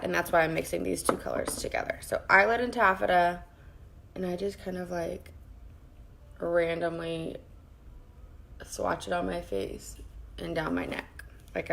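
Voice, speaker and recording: A woman with a raspy voice, chatting casually with her viewers while she does her makeup at her vanity at home. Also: on the low side, background noise. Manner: chatty, greets viewers by name and rambles while working. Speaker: makeup vlogger. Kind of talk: casual live-streamed makeup tutorial. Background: faint background music.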